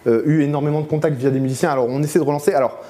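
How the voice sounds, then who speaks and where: A man's voice: on the high side, steady tone, racing, warm tone. A man in his thirties with an accent from France, casually hosting an unscripted interview in a small indoor studio. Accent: French from France. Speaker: host of a local media show.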